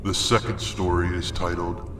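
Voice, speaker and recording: A middle-aged man narrating a scary story in a deliberate, suspenseful, dramatic way. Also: low-pitched, steady tone. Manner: slow, deliberate, suspenseful delivery. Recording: roomy echo, music underneath. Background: music.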